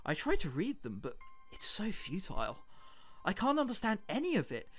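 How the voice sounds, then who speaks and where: A man's voice: sits high, huge pitch swings, moderate pace, a bit bright, faint music behind. A British man hosting a let's play, reading a visual novel aloud and adding chatty, jokey commentary. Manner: jokey, chatty asides between read passages.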